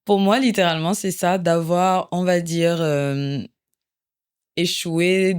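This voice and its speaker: A woman in her thirties from Cameroon, a podcast guest who talks in a reflective, searching way with many pauses and fillers. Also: fairly low pitch, steady tone, clear, resonant tone. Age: 30s. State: emotional, close to tears. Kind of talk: podcast interview.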